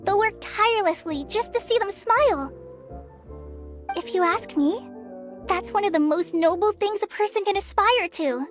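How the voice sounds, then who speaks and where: Tiny, squeaky, eager little girl. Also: moderate pace. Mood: earnest. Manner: childlike, earnest, bubbly. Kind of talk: anime-style video game dialogue. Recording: music behind the voice.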